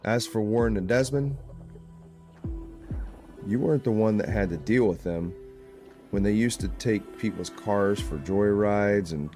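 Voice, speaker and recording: A fairly low male voice from an actor as a claustrophobic, haunted small-town deputy in an audio drama. He talks plainly and grumbles, with a gruff, uneasy temper. Faint music plays underneath. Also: measured pace, long pauses, a little mellow, natural voice. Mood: skeptical, grumbling.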